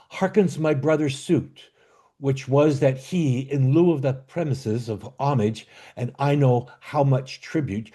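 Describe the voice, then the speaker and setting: A man playing a bitter, commanding exiled duke and father in an amateur Shakespeare reading, with a declamatory, halting delivery. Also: medium pitch, warm tone, lightly acted. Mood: bitter, aggrieved. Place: online video call.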